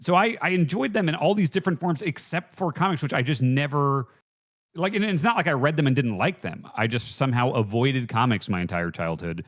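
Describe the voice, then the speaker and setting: A man chatting casually as a podcast panelist, in a halting, rambling way. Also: medium pitch, lively intonation. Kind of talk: casual chat.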